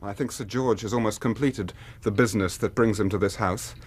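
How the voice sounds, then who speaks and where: An actor playing a desperate but courteous young British gentleman, speaking with formal, restrained earnestness in a radio drama. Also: on the low side, steady tone, brisk, slightly rough. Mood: resigned. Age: young adult. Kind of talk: BBC radio drama acting.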